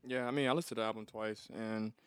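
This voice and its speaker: A man's voice: fairly low pitch, steady tone, fast talker, clear, resonant tone, no room sound. A man co-hosting a podcast, talking casually about pop culture. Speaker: podcast co-host. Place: podcast studio.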